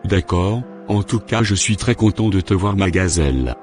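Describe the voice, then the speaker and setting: A man's voice: low voice, expressive, moderate pace, darkish tone. A man playing a boastful, worldly backsliding preacher in an amateur cartoon, self-satisfied in manner. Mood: cheerful, flirty. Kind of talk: amateur cartoon monologue and dialogue. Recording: music behind the voice.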